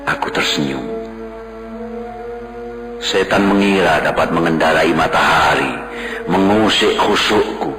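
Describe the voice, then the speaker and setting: A man giving a solemn, contemplative poetry recital in a slow, devotional manner. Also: sits low. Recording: roomy echo with music clearly underneath.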